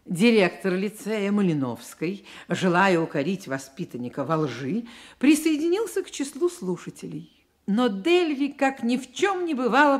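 A woman narrating a radio drama about a poet's life as an expressive literary storyteller. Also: on the low side.

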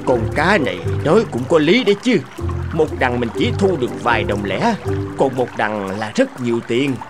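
A man voicing a grumpy, gullible old fisherman in an animated fable, with exaggerated grumbling. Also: mid-range voice, moderate pace, over music, close to an everyday voice. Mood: pondering, persuaded.

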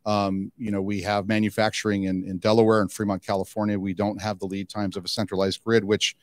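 A man's voice: lowish voice, level tone, a little fast, dry recording. A middle-aged man speaking conversationally as an executive being interviewed, close on a handheld microphone. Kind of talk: conversational trade-show interview. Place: indoor interview booth at a conference.

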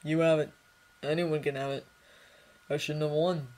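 A woman voicing a withdrawn teenage boy who speaks flatly in grief. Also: low voice, normal speed, natural voice. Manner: flat, subdued.

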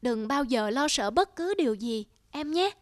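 Woman voicing a high-voiced, devoted young husband. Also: lively intonation, moderate pace, no echo. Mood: tender, reassuring. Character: devoted, reassuring young husband, gently playful. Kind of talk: cartoon dialogue.

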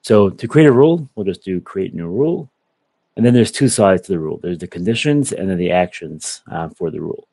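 A young man giving a methodical, step-by-step software walkthrough over a video call. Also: low-pitched, animated, a little fast, no room sound. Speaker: software product presenter. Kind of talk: screen-share software walkthrough.

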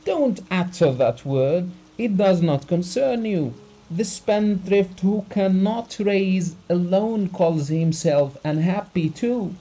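A furious country doctor, as a male reader puts him on, raised and loud, spitting contempt at a rich man. He shouts in a high, strained voice, slow and heavy on each word. Bitter, scornful audiobook character acting. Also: animated, exaggerated voice, poor audio. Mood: contemptuous.

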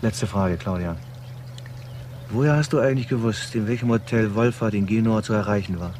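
An actor playing a dogged, impatient police detective in a German crime radio drama, pressing with clipped questions. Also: male voice, lowish voice, fairly quick, a little mellow, close to an everyday voice. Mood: probing. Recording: radio drama with background noise.

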